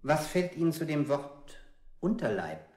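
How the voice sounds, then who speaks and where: A relentless, coldly methodical interrogator in an avant-garde radio play. His voice is fairly high for a man and sounds in an echoey space. The questioning is formulaic and insistent. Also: very animated, measured pace, darkish tone.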